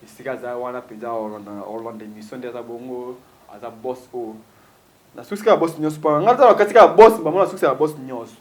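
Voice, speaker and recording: A man chatting as a guest, rambling and animated with lots of fillers, switching into Lingala. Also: medium pitch, fairly quick. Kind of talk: casual chat mixing French and Lingala.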